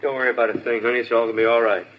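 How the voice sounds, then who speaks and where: A man's voice: medium pitch, flat delivery, very fast, muffled recording, background noise, natural voice. An actor playing a sneering, mouthy young male drug suspect in a 1950s radio crime drama. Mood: soothing. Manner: mouthy, defiant, taunting.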